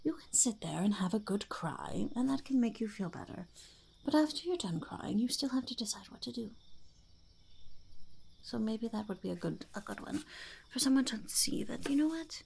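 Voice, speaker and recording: A woman voicing a blunt, scheming female goblin entrepreneur in a soft, creaky ASMR roleplay, often slipping into a whisper. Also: on the high side, expressive, moderate pace, full character voice. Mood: blunt, encouraging. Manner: third-person self-reference, mock-grand, haggling. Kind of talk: ASMR roleplay, softly spoken to the listener.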